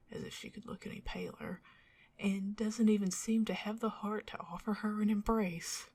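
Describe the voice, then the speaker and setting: A woman voicing a doting, wry, centuries-old female vampire in a whispered ASMR roleplay, breathy and formal in her old-fashioned phrasing. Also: fairly low pitch, heavily acted. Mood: mock indignant.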